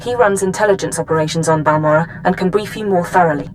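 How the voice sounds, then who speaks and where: A woman's voice: lowish voice, fast, slight background noise, close to an everyday voice, poor audio. An actress playing a formal, businesslike female intelligence officer who gives mission briefings in a video game. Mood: formal, composed. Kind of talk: scripted video game dialogue.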